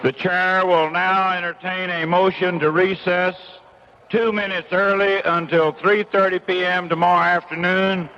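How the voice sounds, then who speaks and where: A man's voice: high voice, flat delivery, a little slow, sharp tone, lo-fi sound, slight background noise. A man chairing a convention, making formal, ceremonial procedural announcements.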